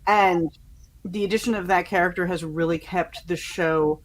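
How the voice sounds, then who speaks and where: Woman, fairly low voice, casual podcast panelist, conversational, halting delivery. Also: fairly even intonation, slight background noise, rough recording. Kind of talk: casual chat, giving fan opinions.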